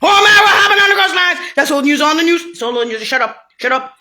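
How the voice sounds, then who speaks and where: A woman with a slightly high voice delivers a scattered, profane monologue. Her pitch leaps and dips widely from one phrase to the next, and she breaks into sudden shouts. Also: lively intonation, fast talker. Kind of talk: rambling solo monologue.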